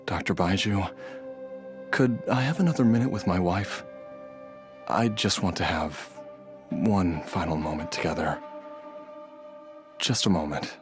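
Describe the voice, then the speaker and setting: An actor playing a gentle, ailing husband and father in a video game, speaking with weary, halting, sincere dignity. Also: sits low. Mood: wistful, weary. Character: gentle, ailing family man, resigned and dignified. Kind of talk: dramatic video game voice acting. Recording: faint music behind the voice.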